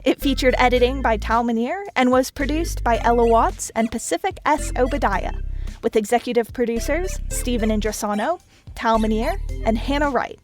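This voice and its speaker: A woman's voice: a little high, moderate pace, soft background music. Woman reading scripted outro credits. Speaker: podcast co-host reading credits.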